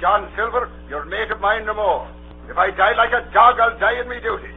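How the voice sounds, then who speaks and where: High-voiced defiant honest sailor, acted by a man. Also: a little fast, a little hiss or hum, natural voice. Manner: earnest rough seafaring speech. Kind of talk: old radio drama acting. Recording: tinny vintage radio broadcast.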